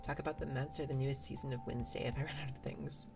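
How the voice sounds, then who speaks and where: A woman with a very deep, gravelly voice chats softly on an ASMR stream, rambling with many fillers and restarts and now and then slipping into a whisper. Music plays clearly behind her. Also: steady tone, very fast. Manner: soft-spoken, drifts into whispers. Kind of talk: soft casual stream chat. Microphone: binaural mic.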